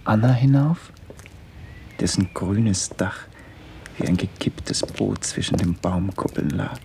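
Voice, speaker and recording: An actor playing a brooding, wry writer who muses in halting fragments in a radio play monologue. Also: male voice, on the low side. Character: brooding wandering writer, a man, wry and melancholy. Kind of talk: first-person literary radio play monologue. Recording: clearly noisy ambient radio recording.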